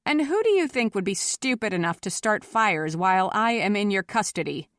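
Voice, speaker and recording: A woman voicing a proud female mystic in an audiobook, cool, forceful and scornful. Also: mid-range voice, lively intonation, normal speed, dry recording, clean studio sound, close to an everyday voice. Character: proud defiant female mystic facing down a mob. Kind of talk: audiobook character voice.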